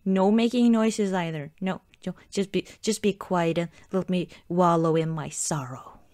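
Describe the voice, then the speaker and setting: A woman playing a playfully whiny, pouting girlfriend in an improvised ASMR roleplay, with halting, giggly, mock-sulky pleading. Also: lowish voice, darkish tone, no echo, clean studio sound, close to an everyday voice. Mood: playful sulking.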